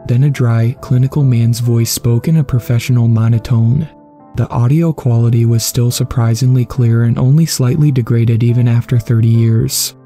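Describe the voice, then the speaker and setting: A man reading a scripted first-person horror story as a narrator, in a measured, clearly enunciated way, with music behind him. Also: medium pitch, steady tone, mellow. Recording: background music under the voice.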